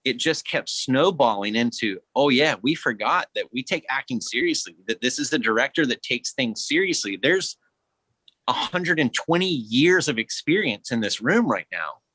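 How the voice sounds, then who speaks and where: A man with a bright, forward voice talks as a guest actor on a podcast. His delivery is earnest, expressive and reflective, with a middle pitch and a very dry sound. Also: normal speed, dry recording, poor audio.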